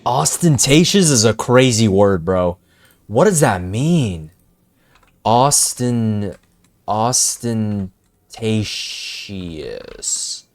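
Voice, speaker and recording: A young man reacting casually to a game on stream, slangy and excitable, often repeating himself. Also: on the low side, very slow. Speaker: gaming streamer.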